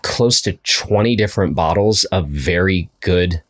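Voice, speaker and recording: A young man with a very low voice trades jokes on a podcast. He talks in a dry, self-deprecating, offhand way. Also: a little slow. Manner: dry, joking, self-mocking. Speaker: podcast host. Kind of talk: casual podcast chat.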